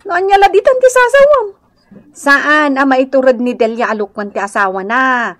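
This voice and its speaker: A woman playing a disbelieving, mildly scolding neighbor in an Ilocano radio comedy drama, expressive and chiding. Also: high-pitched, normal speed, no echo, studio quality.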